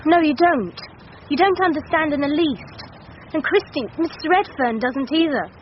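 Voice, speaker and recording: An actress playing a brooding, troubled teenage daughter in a radio drama. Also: female voice, high voice, lively intonation, normal speed. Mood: brooding, defiant. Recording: dull radio recording, faint noise.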